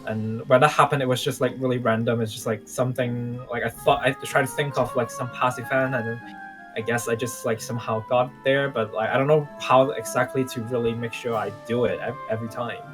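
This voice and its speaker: A man playing an unsure young time-traveling mage in a game roleplay, talking in a halting, rambling way. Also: medium pitch, steady tone, over music, natural voice. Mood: hesitant, unsure. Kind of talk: improvised video game roleplay.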